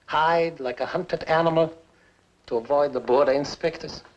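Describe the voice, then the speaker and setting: An actor playing a bitter fugitive inventor who taunts theatrically, in a 1950s film style. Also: male voice, a little high, steady tone, lightly acted. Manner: sardonic, theatrical, drawn-out taunting. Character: bitter, gloating fugitive inventor, a mocking villain. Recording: muffled, noisy 1950s film soundtrack.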